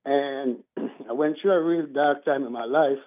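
A man's voice: medium pitch, measured pace. A man from Trinidad, calling in to a radio show and speaking earnestly in a rambling way. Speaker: caller. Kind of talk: call-in talk radio. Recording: tinny phone line.

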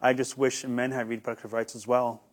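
A man's voice: medium pitch, flat delivery, brisk. A young man giving earnest, hesitant interview answers as a guest, often repeating words as he starts. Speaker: guest, YouTuber.

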